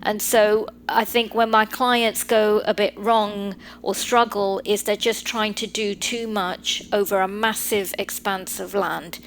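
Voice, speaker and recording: A woman in her forties with a London English accent speaks at a middle pitch, explaining things in a measured, advisory way with the odd filler. Her voice carries over a faint hiss of background noise. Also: steady tone. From Britain. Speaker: guest lawyer. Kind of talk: podcast interview.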